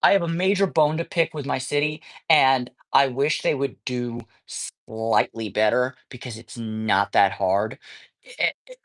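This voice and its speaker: A man's voice: medium pitch, a little slow, fairly bright tone. A man chatting casually on a livestream, ranting and swearing as he talks, with lots of false starts and restarts. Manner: ranting, profane, rambling with many restarts. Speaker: livestreamer and cat rescuer. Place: cat colony.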